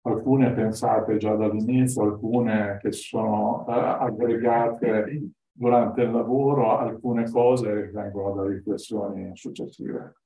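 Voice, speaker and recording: Mellow-voiced man, distant in a roomy space. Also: lowish voice, fairly even intonation, takes it slowly. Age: middle-aged. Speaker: guest speaker, video artist. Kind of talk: explanatory talk to students. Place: classroom, indoors. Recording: roomy, echoing, far from the mic.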